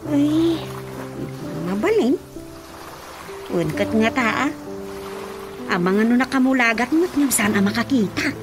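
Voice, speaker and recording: A woman's voice: fairly high pitch, expressive, unhurried, long pauses. A woman acting a gossipy, fussing village churchgoer in a radio drama, with an Ilocano accent and exclamatory delivery. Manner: exclamatory, with repeated interjections. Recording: radio drama with loud music bed. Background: background music.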